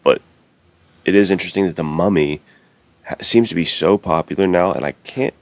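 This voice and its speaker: A man's voice: deep voice, a little fast, dry recording. A man co-hosting a podcast, chatting casually and musing through his opinions. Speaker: podcast co-host. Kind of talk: casual chat.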